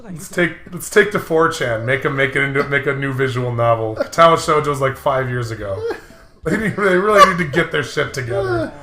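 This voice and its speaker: A man in casual, crude, excitable banter, rambling his way through jokes over a video game. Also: mid-range voice, brisk, roomy sound. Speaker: co-host of a playthrough show. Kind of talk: casual joking banter over a visual novel.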